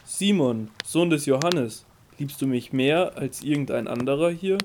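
An actor playing a calm, authoritative Jesus in a Bible audio drama, speaking in a measured, solemn and tender manner. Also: male voice, on the high side, a little hiss or hum, close to an everyday voice. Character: risen Jesus, calm authoritative male teacher.

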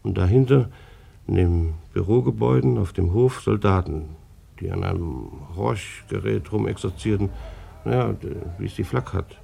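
An actor playing an indignant, dutiful bailiff in a German radio play, halting and easily affronted. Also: male voice, fairly low pitch, gravelly, warm tone, husky, heavily acted. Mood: earnest recounting. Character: indignant, dutiful male German bailiff, defensive civil servant. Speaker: bailiff being questioned.

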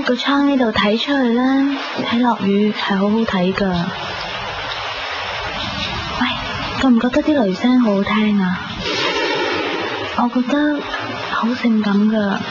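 A woman's voice: a little high, heavy background noise, natural voice. A woman with a Hong Kong Cantonese accent playing a tender, playful young woman in love in a radio drama. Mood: playful, dreamy. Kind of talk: 1990s radio drama acting. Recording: dull lo-fi radio recording under music.

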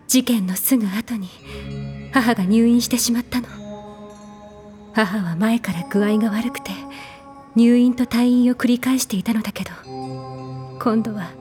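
An actress playing a troubled, caring elder sister confiding in audio drama dialogue. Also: female voice, fairly high pitch, darkish tone, natural voice. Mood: sad, reflective.

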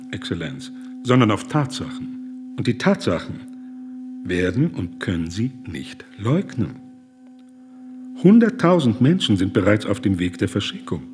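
An actor playing an earnest, indignant German humanitarian, speaking formally, deliberately and with moral urgency in a radio play. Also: male voice, on the low side, a little mellow, a little hiss or hum.

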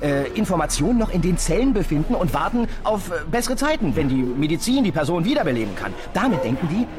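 An actor playing a stubborn, irritable police detective in a radio play, blunt and scornful. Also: male voice, a little high, big pitch swings. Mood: incredulous. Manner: blunt and scornful, quick to anger. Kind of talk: German radio play acting.

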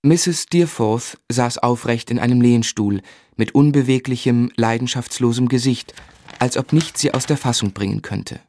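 An actor playing a melancholy gentleman who narrates his own past in a radio drama, measured, literary and reflective. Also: mid-range voice, natural voice. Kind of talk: scripted first-person narration in radio drama. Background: music.

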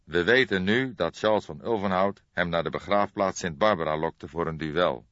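A man reading a detective audiobook as narrator, in measured, dramatic storytelling. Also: deep voice, fairly bright tone. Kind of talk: scripted narration of a detective novel. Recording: boxy, echoey, dull recording.

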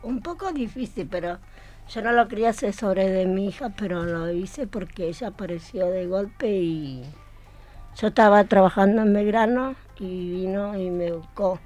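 A woman's voice: medium pitch, normal speed, a little mellow, faint music behind. A middle-aged Argentine woman, an adult student, giving a personal account in a radio interview. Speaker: adult student taking part in a radio play.

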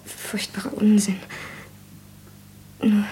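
An actress playing a gentle, frightened young woman in a German radio drama, speaking in a breathy half-whisper. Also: medium pitch, completely flat delivery, slow pace, a little hiss or hum, close to an everyday voice. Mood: anxious, hushed.

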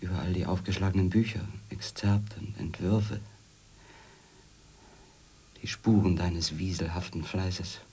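A man playing a haunted, bitter, obsessive former editor in a radio drama, brooding in halting literary monologue that often sinks toward a whisper. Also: low voice, fairly even intonation, mellow, husky. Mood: bitter, anguished.

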